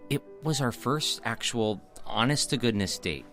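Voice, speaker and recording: An actor playing an earnest young man in an audio drama, confiding warmly and singing. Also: medium pitch, expressive, measured pace, soft background music. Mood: tender. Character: earnest young man running a theatre show. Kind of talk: musical theatre singing and narration.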